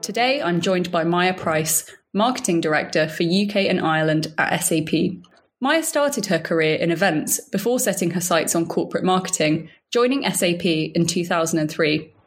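A woman hosting a podcast, reading a polished introduction and then interviewing in a measured, friendly way. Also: fairly low pitch, expressive. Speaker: podcast host. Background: faint music under intro.

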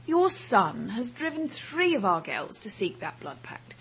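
A woman acting a formal, intense woman in a school confrontation in an audio drama, measured and breathy. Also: medium pitch, very animated, a bit bright, a little hiss or hum, low-quality recording. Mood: cold indignation.

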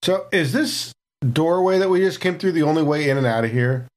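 A man's voice: on the high side, a little fast. A man playing a tabletop game, chatting casually about his character in the third person. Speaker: player.

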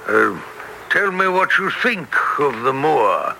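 A man playing an excitable, eccentric naturalist with a sinister edge and hesitant starts, in old radio drama style. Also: fairly high pitch, slow pace, vocal fry, full character voice. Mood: brisk, sociable. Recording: faintly noisy old radio recording.

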